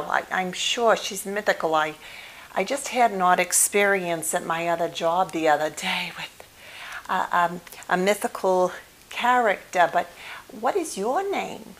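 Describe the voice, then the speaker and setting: A woman doing an ASMR role play as a polite, patient psychotherapist, speaking haltingly and gently. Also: mid-range voice. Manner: hesitant, halting, gently polite, with murmured acknowledgements. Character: polite, mildly flustered female psychotherapist, patient and attentive. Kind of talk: ASMR role play, improvised one-sided conversation. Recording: clear background hiss.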